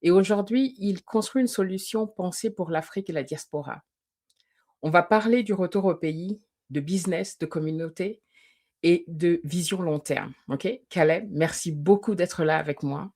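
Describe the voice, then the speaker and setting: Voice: medium pitch, normal speed, a little mellow. An interview host who opens the show with an upbeat welcome and then poses questions to the guest. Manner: upbeat, brief acknowledgements. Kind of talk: interview hosting.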